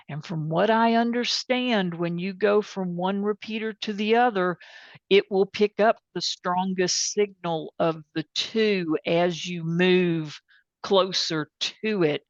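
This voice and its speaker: A plainspoken woman presenting an informal software demonstration and taking questions, candid about what she does and doesn't know. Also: medium pitch, dry recording. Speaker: presenter and tech support. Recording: dull, band-limited sound.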